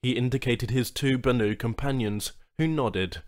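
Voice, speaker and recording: A man's voice: mid-range voice, normal speed, a little mellow. A man narrating a scripted short story as an audiobook reader.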